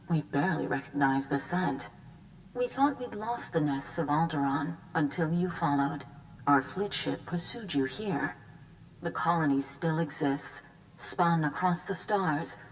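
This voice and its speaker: A woman's voice: sits low, a little hiss or hum, poor audio. An actress voicing a serene, ceremonious female emissary of an insect hive in a video game, formal and stately, speaking as "we". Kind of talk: scripted video game voice acting.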